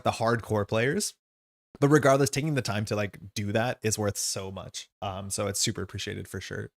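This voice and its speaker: A man's voice: lowish voice, dry recording, clean studio sound. A man giving casual live stream commentary as he plays a card game, rambling and thinking aloud. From Canada.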